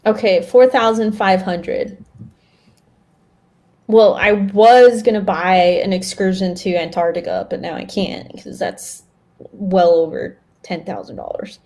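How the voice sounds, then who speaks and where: A woman co-hosting a podcast in casual, playful group chat, animated and quick to laugh. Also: mid-range voice. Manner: animated banter, laughs often. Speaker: podcast co-host.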